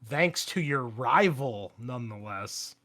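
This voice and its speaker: A man's voice: mid-range voice, lively intonation, slow pace, fairly bright tone. A man speaking as a game master, casually explaining rules and narrating the action with some swearing. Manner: casual, profane.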